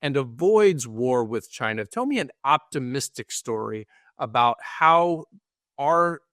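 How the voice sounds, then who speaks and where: A man's voice: mid-range voice, expressive, unhurried, a bit bright, no echo, studio quality. A man co-hosting a podcast, asking long, thoughtful questions with a rambling setup. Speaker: podcast co-host. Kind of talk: conversational interviewing.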